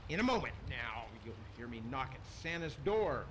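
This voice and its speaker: A man's voice: mid-range voice, big pitch swings, moderate pace, fairly bright tone. A man acting a pompous, scheming Roman emperor from hell in a rhymed radio play, declaiming theatrically. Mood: suffering, dramatic. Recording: faint noise, amateur radio broadcast.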